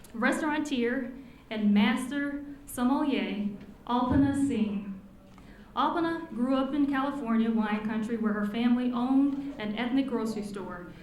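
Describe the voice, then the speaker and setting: A woman delivers a formal, scripted address, her voice sitting a bit high. The sound rings through a large hall with strong echo. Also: measured pace, a little mellow, a little hiss or hum. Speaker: city commissioner introducing speaker. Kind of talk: prepared speech. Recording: echoey hall.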